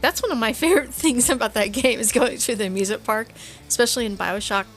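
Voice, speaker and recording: A woman's voice: a little high, fast, faint music behind. A woman co-hosting a podcast in casual conversation, often laughing as she talks. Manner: giggly, laughs often while talking. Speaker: podcast co-host. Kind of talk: casual chat.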